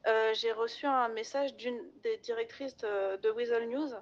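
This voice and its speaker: Woman, fairly high voice, chatty slangy roleplay storyteller with halting delivery, dull lo-fi voice-chat sound. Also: fairly even intonation. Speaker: online roleplay player. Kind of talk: casual in-character storytelling.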